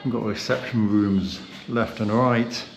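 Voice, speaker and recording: A man's voice: lowish voice, steady tone, a little slow, dull audio, music in the background. A man with a British accent hosting a casual vlog, chatting loosely with plenty of fillers as he walks through a hotel and sauna, recorded on a handheld camera. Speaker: vlog host. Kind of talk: casual walking-tour vlog. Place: indoors, hotel and sauna cellar.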